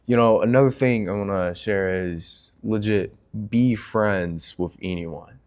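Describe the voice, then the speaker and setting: A man's voice: fairly low pitch, clear, resonant tone. A man hosting a casual chat in an informal, hesitant way, often searching for words. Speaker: channel host.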